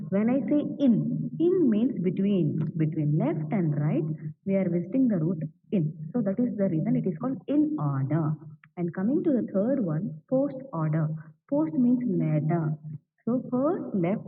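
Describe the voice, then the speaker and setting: A woman's voice: medium pitch, very animated, normal speed. A woman with an Indian English accent teaching an online class, often asking "right?" to check that students follow. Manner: repetitive, checks understanding with "right?". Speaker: lecturer. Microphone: video call. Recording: distant tinny online-class audio.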